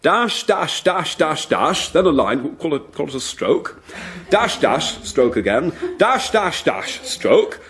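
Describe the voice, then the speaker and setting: Casting: a man playing a bouncy, rambling kids' radio presenter, slightly high and animated. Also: slow, full character voice. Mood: chirpy. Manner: chirpy, rambling, lively presenter patter. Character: jolly middle-aged male children's radio presenter. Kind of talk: sketch comedy acting.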